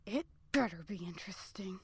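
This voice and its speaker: A woman's voice: mid-range voice, expressive, brisk, husky, no echo. A woman playing a sarcastic, irritable female pony cultist in an audio drama, with exasperated, emphatic delivery. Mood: wary, annoyed.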